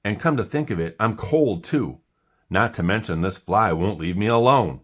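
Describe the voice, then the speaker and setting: A man's voice: on the low side, lively intonation, moderate pace, pitched above the performer's own voice. A man voicing a grumpy, sulking, exasperated monkey from a children's storybook, complaining and snappy. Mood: miserable.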